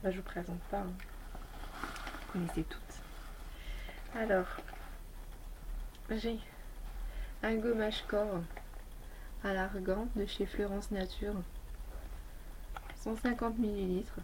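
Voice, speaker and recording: A woman's voice: mid-range voice, fairly even intonation, takes it slowly, long pauses, darkish tone, slight background noise, poor audio. A woman beauty vlogger with a slight head cold, chatting casually and rambling through a review of her toiletries. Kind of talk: casual product review chat.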